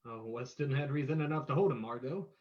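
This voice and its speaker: A woman playing a composed, courteous gentleman sleuth in an old-time radio drama reading. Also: extremely low pitch, fast talker. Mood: composed, probing. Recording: muffled, lo-fi sound.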